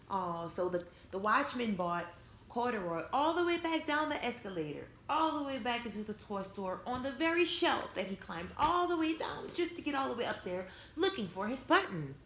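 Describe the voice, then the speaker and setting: A woman with a fairly high, very animated voice reads a children's story aloud. She explains things simply, like a mother at storytime. The recording is echoey, with faint background noise. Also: normal speed. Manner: expressive, retells and explains in simple words for children. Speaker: mother reading a storybook to her young children.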